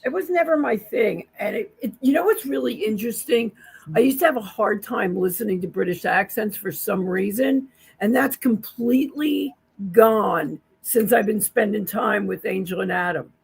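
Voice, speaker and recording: A woman co-hosting a casual livestream chat, talking in a chatty, animated way. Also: mid-range voice, normal speed, vocal fry, slightly rough. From New Jersey.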